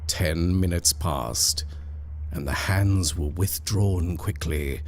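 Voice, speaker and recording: A man with a very low, deep voice reads a ghost story at an unhurried, drawn-out pace. His delivery is measured and deliberate, sometimes dropping to a hush. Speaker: narrator. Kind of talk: scripted horror story reading.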